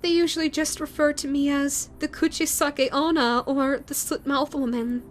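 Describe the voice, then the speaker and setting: A woman voicing a shy, flustered female ghost in a romantic audio roleplay, stammering and halting, tender and full of self-doubt. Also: sits high, steady tone, a little hiss or hum, close to an everyday voice. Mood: surprised, hesitant. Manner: stammering, hesitant, halting with repeated words.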